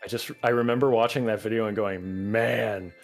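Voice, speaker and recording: A man chatting as a podcast guest, animated and profane, breaking into loud laughs, recorded on a home microphone. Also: lowish voice, normal speed, gravelly, faint music behind. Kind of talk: casual podcast chat.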